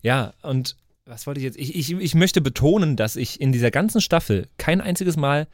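A man's voice: fairly high pitch, expressive, normal speed, dry recording, clean studio sound. A man chatting casually as co-host of a role-playing podcast. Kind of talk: casual German podcast chat.

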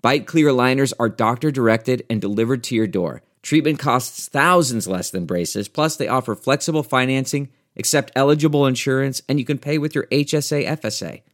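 Mid-pitched male announcer for a smooth, upbeat ad read. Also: brisk, few pauses. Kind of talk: scripted ad read.

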